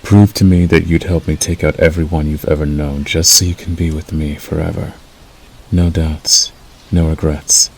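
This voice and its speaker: A man voicing a possessive, menacing male werewolf lover in an ASMR roleplay, slow and deliberate, coaxing and threatening with a gravelly growl. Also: very deep voice, flat delivery, a little mellow, exaggerated voice, rough recording. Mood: commanding, possessive. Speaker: audio roleplay voice actor. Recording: faint background noise.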